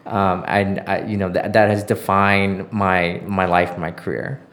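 A man's voice: low-pitched, flat delivery, a little slow, a bit bright. A middle-aged American man telling stories as an interview guest, reflective and often searching for words. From Southern California. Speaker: interview guest, former journalist. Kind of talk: conversational interview storytelling.

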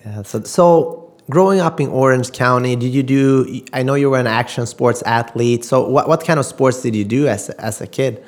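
A man's voice: medium pitch, moderate pace. A man hosting an interview, reading a polished introduction in a mid-range voice. His questions come with small stumbles and repeated words. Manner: reads a formal introduction, then asks questions with repeated words. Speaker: interview host. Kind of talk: podcast interview.

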